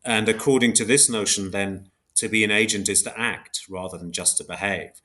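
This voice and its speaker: Male philosopher guest, lowish voice, careful and exact, restarts phrases while laying out definitions. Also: fairly even intonation, moderate pace, sharp tone. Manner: hesitant, precise, self-correcting. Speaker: philosopher guest, book author. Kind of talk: academic interview, explaining concepts.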